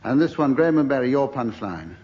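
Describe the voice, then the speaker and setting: A man's voice: mid-range voice, expressive, a little fast. A man chairing a comedy panel game, speaking as a broadcast host. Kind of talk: broadcast panel show hosting. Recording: lo-fi radio recording, noisy live show.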